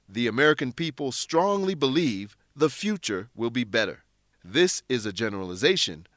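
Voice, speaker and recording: A man narrating a scripted English lesson in a deliberate, instructional manner. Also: medium pitch, a bit bright, no echo, clean studio sound.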